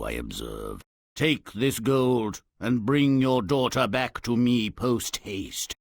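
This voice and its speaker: Gruff, commanding beast villain for a fairy tale game, slow and dry, with big swoops of pitch. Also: male voice, fairly bright tone, no room sound, heavily acted. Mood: scornful, commanding. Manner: slow, threatening, imperious. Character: grim, menacing male beast, gruff and commanding. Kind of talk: theatrical video game villain acting.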